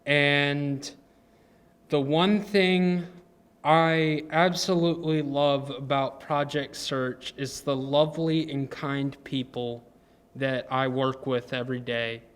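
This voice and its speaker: A young man of twenty gives a prepared self-introduction as an intern. He speaks slowly in a flat, smooth and clear voice, pausing on ums and correcting himself as he goes. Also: medium pitch. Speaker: program intern.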